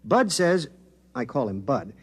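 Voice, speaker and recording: A man's voice: medium pitch, sing-song, a little slow, slightly rough. An actor playing an affable, evasive scientist and spokesman in a 1950s radio drama, explaining things conversationally.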